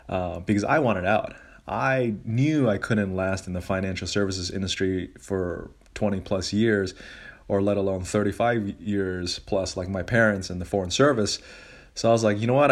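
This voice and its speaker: A middle-aged man hosting a solo personal finance podcast, talking casually and giving emphatic advice with colloquial asides. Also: on the low side. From grew up in Asia and Zambia, living in the United States.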